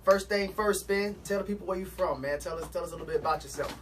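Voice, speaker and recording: A man's voice: sits high, fast, far from the mic, slight background noise. A man hosting a podcast, casual and upbeat with slang. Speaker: podcast host.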